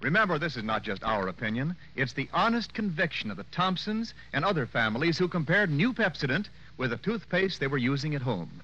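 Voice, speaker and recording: A man's voice: a little high, very animated, fairly quick, few pauses, bright, forceful tone. A man with an American accent announcing and selling on 1940s radio, emphatic and polished. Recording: muffled old-time radio recording.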